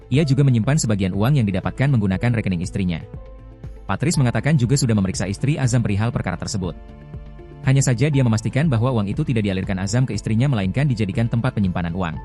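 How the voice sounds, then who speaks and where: A man's voice: fairly low pitch, warm tone. A man reading scripted news narration as a news narrator.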